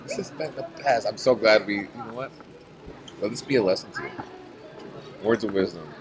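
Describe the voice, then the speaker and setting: A man's voice: fairly low pitch, brisk, poor audio. A man in his thirties chatting casually to a camera as a travel vlogger. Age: 30s. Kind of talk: casual chat. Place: busy brewery tasting hall with large windows. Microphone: camera at arm's length or on the table. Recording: loud crowd chatter and music behind.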